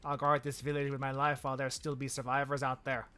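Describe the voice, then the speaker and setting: A man's voice: mid-range voice, level tone, quick pace, sharp tone, clean tone, faint music behind, lightly acted. A man putting on a blunt Irish village guardian woman. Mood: gruff. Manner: blunt, defiant, plain-spoken. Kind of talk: reading game dialogue aloud.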